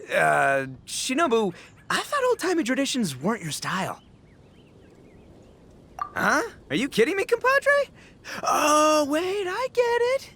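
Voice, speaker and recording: A man's voice: extremely high pitch, creaky, sharp tone, full character voice. A man voicing a brash, goofy, big-hearted young gang boss in a video game, boisterous, slangy and theatrical. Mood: baffled, then smug. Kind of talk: video game cutscene acting.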